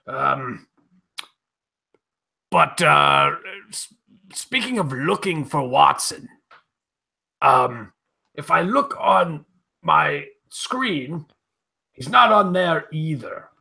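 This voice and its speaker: An excitable, fretful gentleman sidekick, acted by a man in a comic radio play. His pitch is high and leaps around, and the voice is bright and raspy. He rambles hesitantly and dithers. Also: very slow, pauses often, heavily acted, poor audio. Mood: dithering. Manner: rambling, hesitant, swooping exclamations. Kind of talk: comic old-time radio play parody.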